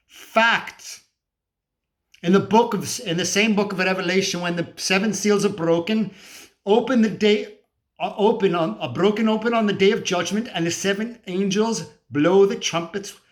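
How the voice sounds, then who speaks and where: Man playing feverish male doomsayer, cynical, scornful, slightly high voice, emphatic rhetorical ranting, stage-style monologue. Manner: emphatic, preachy, sweary ranting with rhetorical questions. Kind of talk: dramatic monologue performance.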